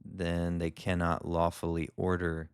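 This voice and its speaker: A man doing a calm legal commentary voice-over, explaining each point in a measured way. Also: deep voice, clear, resonant tone, no echo, studio quality. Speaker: lawyer commentator.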